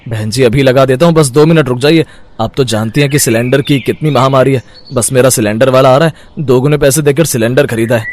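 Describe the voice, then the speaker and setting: An actor playing a weary, henpecked village food vendor in a story cartoon, expressive and given to grumbling and pleading. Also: male voice, mid-range voice, a little fast, slight background noise, lightly acted. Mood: placating. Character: weary, henpecked village chicken-lollipop street vendor husband. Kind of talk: animated moral-story voice acting.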